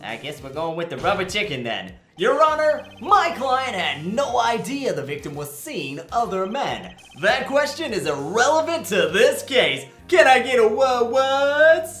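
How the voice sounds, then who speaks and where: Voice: medium pitch, big pitch swings, unhurried, hardly any pauses, fairly bright tone. A performer voicing a cocky, goofy rookie male lawyer in a comedic game fandub, with flippant, wisecracking asides. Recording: faint game music behind, roomy sound.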